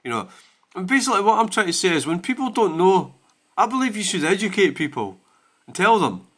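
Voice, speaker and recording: A man's voice: sits high, very animated, fairly quick, fairly bright tone. A Scottish man hosting his own channel, talking in a blunt, sweary and rambling way in a casual rant. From Scotland. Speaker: channel host.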